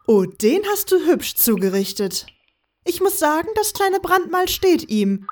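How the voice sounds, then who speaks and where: A woman's voice: very high voice, very animated, unhurried, distant mic, full character voice. A woman playing a teasing, confident, carefree young woman who sneaks about, in a fan audio drama. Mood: teasing, amused. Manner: breezy, teasing.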